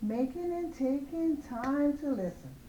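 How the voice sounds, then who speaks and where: A slightly hoarse woman hosting a show of casual opinion commentary on celebrity news, speaking in an emphatic, admonishing way. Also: sits high, lively intonation, measured pace, dark tone, slight background noise. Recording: roomy, echoing, dull lo-fi sound.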